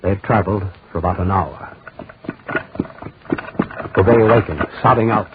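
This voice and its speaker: Deep, ominous male horror narrator, tinny recording. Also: moderate pace, lightly acted. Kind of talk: dramatic 1940s radio narration. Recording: noisy, tinny 1940s radio recording.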